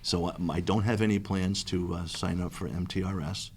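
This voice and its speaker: A man answering as a candidate at a school committee meeting, enthusiastic but halting, with restarts. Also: sits low, steady tone, slight background noise. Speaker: interim superintendent candidate. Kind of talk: public interview answer.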